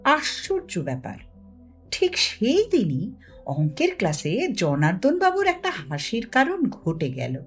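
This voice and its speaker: A woman's voice: on the high side, wildly swooping pitch, measured pace, breathy edge. A woman narrating a children's story expressively, as an audiobook reader. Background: music.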